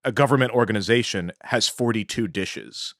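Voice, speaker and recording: A man with a fairly low voice talks as a podcast co-host. He explains things conversationally and informatively. The sound is dry and close on the mic, with very little room. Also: moderate pace, fairly bright tone, dry recording.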